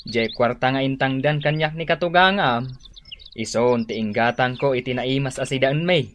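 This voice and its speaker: A man's voice: mid-range voice, moderate pace, a bit bright, slight background noise, lightly acted. A man playing a friendly, easygoing village labourer in a comedy radio drama. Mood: pleased.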